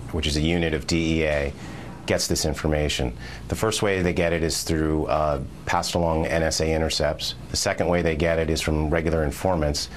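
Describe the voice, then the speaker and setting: A man's voice: rumbling low, slight background noise. An American man speaking as a correspondent in a news interview, explaining things methodically, step by step.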